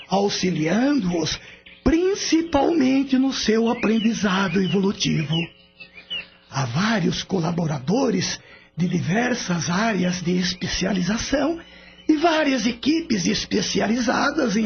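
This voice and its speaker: A man's voice: high voice, creaky, heavily acted. An actor playing a serene older male spirit with a Brazilian accent, patiently explaining in a radio drama. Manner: didactic, measured explaining. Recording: dull lo-fi radio recording with music. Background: music.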